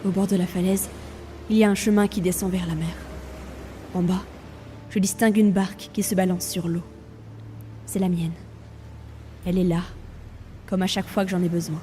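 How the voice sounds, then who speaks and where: A woman's voice: fairly low pitch, fairly even intonation, moderate pace, pauses often, mellow, natural voice. A woman playing Death as a weary reaper burdened by the role, in a grave, theatrical audio drama monologue. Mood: brooding, torn. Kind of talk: narrated audio fiction, dramatic first-person monologue. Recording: music behind the voice.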